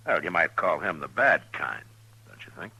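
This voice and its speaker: An actor playing a steady, watchful marshal in an old-time radio western, speaking in a measured, probing, laconic way. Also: male voice, low voice, gravelly, slightly rough, heavily acted, rough recording. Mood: probing, casual. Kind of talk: old-time radio western drama, partly first-person narration. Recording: old radio recording with music.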